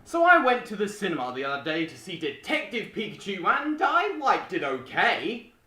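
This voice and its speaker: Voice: mid-range voice, wildly swooping pitch, moderate pace, sharp tone. A young adult reading a scripted video essay as a film reviewer, with music behind. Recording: roomy voice-over with music behind.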